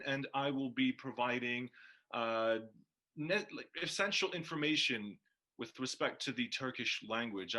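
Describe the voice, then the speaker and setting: A man co-hosting a language-learning show as the teacher, explaining things in a measured, halting, semi-formal way. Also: mid-range voice, pushed and bright. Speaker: co-host and Turkish teacher.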